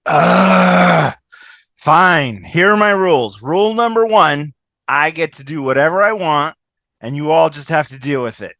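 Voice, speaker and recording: Man reading a brash, snarky, selfish woman, animated. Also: on the high side, measured pace, no echo, heavily acted. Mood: defiant. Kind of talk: amateur script table read. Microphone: video call.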